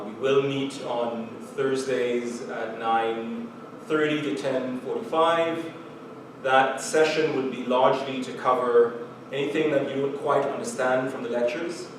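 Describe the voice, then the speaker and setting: A middle-aged man with a Trinidadian accent speaks slowly and earnestly as a professor, often stopping on "uh" and "um" and going back over his words. He sounds distant from the mic, in a room with strong echo and a clear layer of background noise. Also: mid-range voice. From Trinidad and Tobago. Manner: measured, earnest, with frequent "uh" and "um" and repeated words. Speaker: chemistry professor. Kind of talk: recorded university lecture.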